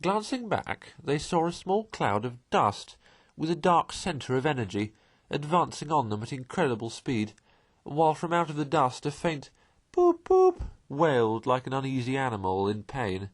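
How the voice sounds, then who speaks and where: A man reading a classic children's novel aloud in a measured, expressive way. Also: medium pitch. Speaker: audiobook reader. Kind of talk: amateur storybook read-aloud.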